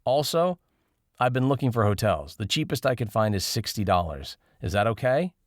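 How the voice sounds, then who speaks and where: A man's voice: on the low side, animated, normal speed, no echo, lightly acted. A male narrator plays a young man in his twenties in an audiobook. He is polite, deferential and a little hesitant, his voice lifted slightly, with a dry sound around it. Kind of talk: audiobook character dialogue.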